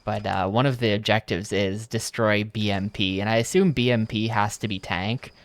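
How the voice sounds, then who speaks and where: A man's voice: on the low side, steady tone, normal speed. A young man from the United States commenting casually on a game as he plays, with dry, joking asides. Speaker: video game streamer.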